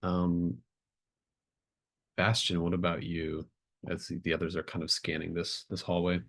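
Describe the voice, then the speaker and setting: A man's voice: low-pitched, flat delivery, a little slow, vocal fry, fairly bright tone. A man narrating a tabletop game as game master, improvising in a casual, hesitant, thinking-aloud way. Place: remote online group call.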